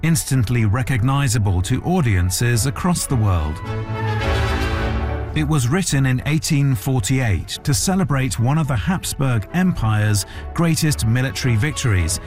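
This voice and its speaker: A man's voice: mid-range voice, lively intonation, unhurried, over music. A man working as a radio correspondent, narrating a scripted news report.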